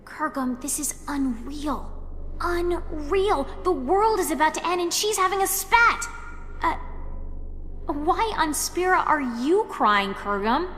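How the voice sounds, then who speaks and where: High-voiced, sarcastic, exasperated young woman. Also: animated, slow pace, a bit bright, a little hiss or hum, exaggerated voice. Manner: sarcastic, blunt, incredulous. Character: brash, exasperated young woman narrating her story. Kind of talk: audio drama narration and dialogue.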